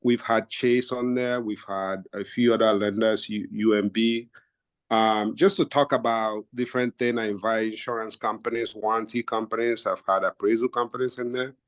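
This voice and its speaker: A man from Nigeria, a real estate agent calmly walking his team through his methods on a video call. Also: fairly low pitch, moderate pace, dry recording. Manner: steady, methodical, stacks up examples with fillers. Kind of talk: casual explanation in a team video meeting.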